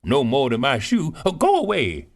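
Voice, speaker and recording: A man playing a bossy older cook. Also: moderate pace. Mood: bustling. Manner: animated, scolding, swoops high. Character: proud older woman cook, bustling and boastful. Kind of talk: audiobook character reading.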